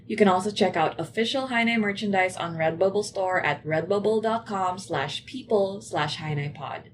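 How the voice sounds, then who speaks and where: A woman's voice: mid-range voice, normal speed. Woman reading podcast credits, faint noise behind. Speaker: podcast co-creator and producer. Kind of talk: scripted podcast outro announcements.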